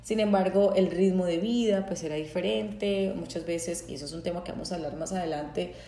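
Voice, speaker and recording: A Colombian woman from Santander speaks candidly about her own life, pausing often and restarting her sentences as she reflects. Her voice has a mellow, warm tone, and the room gives it a noticeable echo. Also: fairly low pitch, slight background noise. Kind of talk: personal testimony talk.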